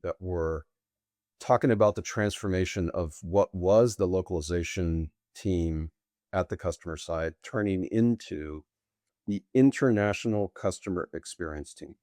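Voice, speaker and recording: A middle-aged man, bilingual with Portuguese, answering interview questions as a guest in long, deliberate, winding sentences. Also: low voice, mellow, no echo, clean studio sound. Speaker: guest, sales executive.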